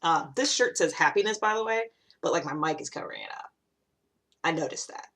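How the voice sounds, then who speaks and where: Female vlogger, low voice, informal and chatty, explaining ideas as she thinks them through. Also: expressive, fairly quick, a bit bright. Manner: informal, thinking aloud, frequent rhetorical check-ins. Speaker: YouTube vlogger. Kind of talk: casual chatty solo explainer.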